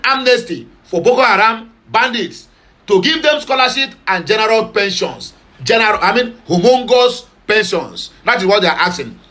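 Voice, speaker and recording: A man's voice: sits high, pushed and bright. A man from southeastern Nigeria with a Nigerian Pidgin accent, giving passionate, opinionated news commentary. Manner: passionate, switching between Pidgin, English and Igbo.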